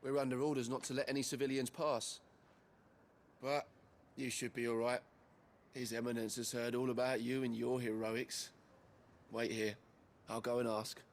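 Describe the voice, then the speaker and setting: A dutiful garrison soldier played by a man in a fantasy game, plain-spoken and informal. His voice is mid-pitched and deferential, with faint background ambience. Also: flat delivery, normal speed, long pauses, a little hiss or hum, natural voice. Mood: accommodating. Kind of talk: scripted video game drama.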